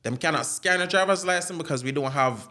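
Jamaican man, higher-than-average pitch, casual animated storyteller who slips into patois. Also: fairly quick. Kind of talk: casual chat with anecdotes.

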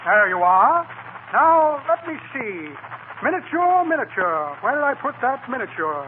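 A man's voice: very high voice, big pitch swings, a little slow, full character voice. An actor playing a pompous, shifty con man in a 1940s radio comedy, with florid, blustering, wordy speech. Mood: breezy bluffing. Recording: noisy, tinny 1940 radio broadcast.

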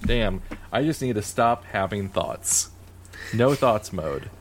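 Man, low voice, monotone, filler-heavy, relaxed podcast chat. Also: takes it slowly, slight background noise. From Missouri, USA. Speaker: podcast co-host.

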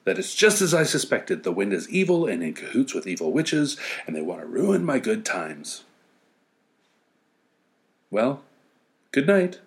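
A man's voice: medium pitch, big pitch swings, unhurried, long pauses. A man reading a story as narration, with wry, playful asides. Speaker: story reader.

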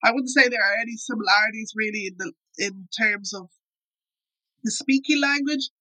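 A young woman from Ireland talks casually as an interview guest, peppering her sentences with "like" and "I suppose". Her voice sounds bright and forward. The recording is dry and close. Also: a little high, normal speed, dry recording, low-quality recording. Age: young adult. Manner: chatty, lots of "like" and "I suppose".

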